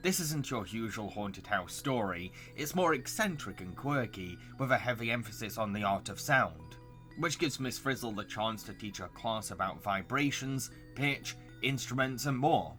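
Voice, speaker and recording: A man's voice: fairly low pitch, expressive, moderate pace, pushed and bright, faint music behind. A man narrating a scripted cartoon review as the host. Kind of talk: scripted video essay narration.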